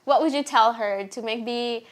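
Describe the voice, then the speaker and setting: A young woman who grew up in Colombia, hosting a podcast in a relaxed, chatty way with lots of "like". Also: a little high, a little slow. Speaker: podcast host. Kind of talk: casual podcast interview.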